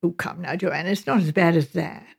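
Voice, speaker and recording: Woman reading as dry, creaky, dignified English gentleman. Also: lowish voice, brisk, voice pushed down, heavily acted. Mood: mild, measured.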